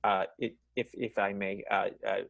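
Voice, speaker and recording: A man's voice: lowish voice, flat delivery, normal speed, vocal fry, fairly bright tone, no echo, lo-fi sound. A man playing an awkward, earnest, lovelorn boss in an improvised radio play, with hesitant, stammering delivery. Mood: nervous, mournful. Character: awkward, nervous, lovelorn male office boss.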